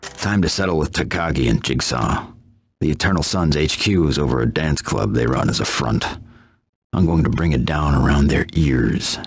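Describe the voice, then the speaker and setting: An actor voicing a grim, hardened vigilante in a video game, terse and deadpan with a gravelly, husky voice. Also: male voice, rumbling low, normal speed, no room sound, exaggerated voice. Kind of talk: video game cutscene acting.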